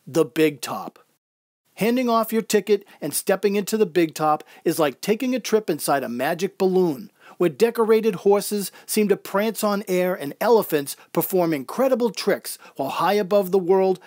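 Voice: mid-range voice, a little mellow, no echo, clean studio sound, natural voice. A performer playing a wistful, proud circus clown in a reflective first-person audio drama monologue. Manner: reflective, descriptive storytelling.

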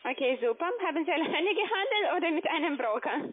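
A woman with a foreign accent, a non-native speaker, giving a polite scripted sales pitch by phone. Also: lively intonation, quick pace. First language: not German. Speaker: telephone sales agent. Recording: thin phone line.

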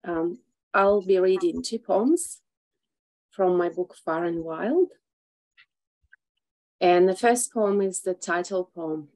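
A woman reads poetry on a video call. She speaks slowly, in a slightly low voice. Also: a little mellow, low-quality recording. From Russia. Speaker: poet at an open mic. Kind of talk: poetry reading with a short spoken introduction. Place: small indoor room.

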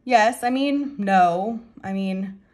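A woman's voice: mid-range voice, takes it slowly, lightly acted. A woman reading the part of a shy male pet shop clerk in a story, hesitant, mumbling and unsure. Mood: flustered, hesitant.

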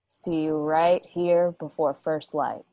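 Western radio drama: vengeful woman gunslinger played by an actress, low, terse, unhurried, very dry sound. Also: fairly even intonation, dark tone, no echo, low-quality recording. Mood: grim resolve. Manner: terse, deliberate, rustic Western phrasing. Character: vengeful, resolute young gunslinging woman rider named Mia. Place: home, remote video call.

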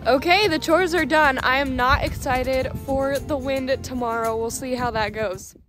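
A woman's voice: high voice, a little slow, over music. A young American woman, in her teens or just past, talking casually to the camera as a farm vlogger. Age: teens to young adult. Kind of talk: casual vlog narration. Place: snowy farm outdoors, barns, and a room indoors. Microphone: phone at arm's length.

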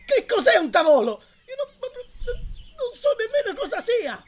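A man's voice: very high-pitched, wildly swooping pitch, unhurried, full character voice. A young man dubbing an exasperated, pedantic and whining friend in an amateur comedy sketch. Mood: whining despair. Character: exasperated young man losing his temper over a friend's mispronunciation.

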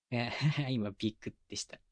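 A man acting as a tender young boyfriend in an ASMR audio drama. He speaks in a soft, breathy murmur, very close and dry on a binaural mic. His manner is doting and teasing, slipping into coaxing baby talk. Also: on the low side, no echo, heavily acted. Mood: sleepy, soothing. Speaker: voice actor. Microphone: close binaural microphone, dry.

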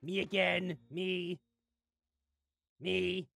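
A performer voicing an arrogant, exasperated creature who thinks itself a genius, raspy and theatrical, in a comic gameplay series. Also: mid-range voice, level tone, drawn-out words, fairly bright tone, no echo, soft background music, exaggerated voice. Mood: smug, dismissive. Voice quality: raspy, gravelly. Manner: theatrical, haughty, melodramatic rants. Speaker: voiced character in a gaming series. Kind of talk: voice-acted gameplay comedy.

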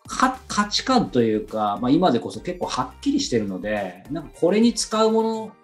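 A man's voice: mid-range voice, huge pitch swings, normal speed. A man chatting casually as a podcast host, explaining things in a rambling way. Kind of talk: casual chat. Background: background music.

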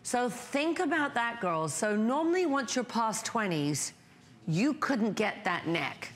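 Woman in her 50s doing a shopping channel pitch, chatty and emphatic, lapel mic in a studio. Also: very animated, takes it slowly. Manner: chatty, emphatic, piles up vivid descriptive words. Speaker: brand founder presenting on a shopping channel. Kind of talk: home shopping sales pitch.

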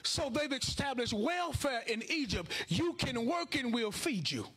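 Casting: American male preacher, raised-pitch husky voice, colloquial and emphatic sermon delivery on a handheld mic. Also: big pitch swings, unhurried, fairly bright tone. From Chicago and Houston, United States. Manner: emphatic, colloquial, repeats phrases for effect. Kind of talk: sermon to a congregation. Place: indoor church stage at a lectern. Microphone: handheld microphone.

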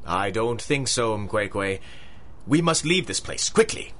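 An actor playing an arrogant, obsessive big-game hunter in a theatrical 1930s-style radio drama, with a pompous, husky delivery. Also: male voice, lowish voice, expressive, slow, fairly bright tone. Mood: tense, commanding.

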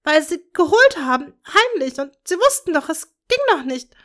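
Woman reader doing a frantic, sobbing dark witch, high and shrill. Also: big pitch swings, moderate pace, heavily acted. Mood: anguished. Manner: sobbing, high-pitched wailing. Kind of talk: fan fiction reading.

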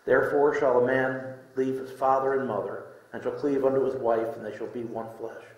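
A middle-aged man preaching a sermon in deliberate short phrases with pauses, reading scripture from a lectern. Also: medium pitch, level tone, dull audio. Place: indoor room, speaking from a lectern. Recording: echoey room.

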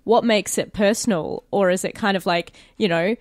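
An Australian woman speaks as a podcast co-host in a relaxed, informal interview style. Her voice sits at a medium pitch and is recorded dry, with no room sound. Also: moderate pace, dry recording. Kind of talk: casual chat, informal questions.

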